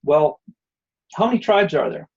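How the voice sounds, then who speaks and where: Man presenting, rambling, lots of fillers, slightly high voice, dull lo-fi audio. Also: animated, moderate pace, darkish tone, husky. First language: not Hebrew. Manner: rambling, many fillers and restarts. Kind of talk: online presentation with Q&A.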